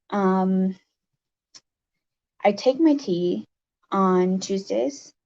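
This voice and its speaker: A livestream host with a raspy voice, chatting casually and haltingly with viewers, with many fillers. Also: mid-range voice, dark tone, lo-fi sound.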